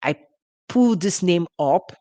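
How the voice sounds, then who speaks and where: Female ministry host, Nigerian accent, chatty and informal, slightly low pitch. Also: animated, a little slow, mellow, no echo. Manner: conversational, with frequent restarts and laughs. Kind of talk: informal teaching talk.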